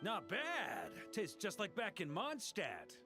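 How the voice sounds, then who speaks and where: An actor playing a jovial, easygoing grand master of knights in a video game, with a folksy, informal manner. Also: male voice, sits high, wildly swooping pitch, takes it slowly, over music, exaggerated voice. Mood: pleased, casual. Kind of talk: English video game voice acting.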